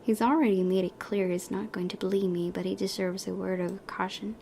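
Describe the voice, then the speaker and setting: A woman's voice: medium pitch, steady tone, brisk, gravelly, a little mellow, a little hiss or hum. A young woman hosting a gaming video, reading story narration aloud and breaking into casual commentary.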